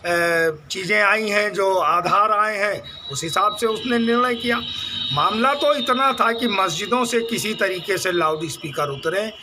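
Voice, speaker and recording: A high-pitched man gives a spoken reaction to reporters. He argues haltingly, circling back over his phrases, with clear ambient noise around him. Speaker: interviewee giving reaction. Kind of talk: spontaneous political reaction to press.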